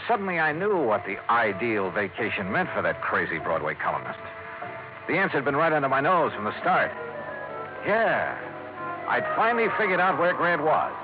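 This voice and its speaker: Tinny vintage radio, man acting a hard-boiled American private eye, sardonic, clipped, swinging widely in pitch. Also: sing-song, moderate pace, natural voice. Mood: tense narration. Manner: sardonic, clipped, tough-talking. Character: wry, hard-boiled male private investigator. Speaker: lead actor in a detective radio serial. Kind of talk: 1950s radio acting, hard-boiled first-person narration. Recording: tinny 1950s radio recording, music underneath.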